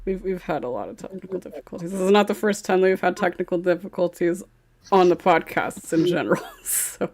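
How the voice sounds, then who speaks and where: Low-pitched woman hosting, giggly and flustered. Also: brisk, darkish tone. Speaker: podcast host. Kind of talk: casual group chat, moderating questions.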